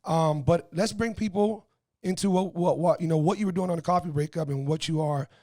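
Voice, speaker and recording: Upbeat man hosting an interview podcast, slightly high voice, casual and eager, dry close mic. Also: a little fast, dry recording. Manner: energetic, enthusiastic, informal. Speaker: podcast host.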